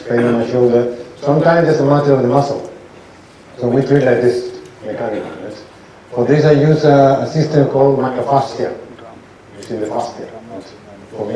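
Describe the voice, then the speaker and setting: A man lectures as a doctor, often restarting sentences and checking in with the audience. His voice is mellow and dark. He is heard from a distance in an echoey hall. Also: medium pitch. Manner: halting, restarts sentences, asks audience rhetorical questions.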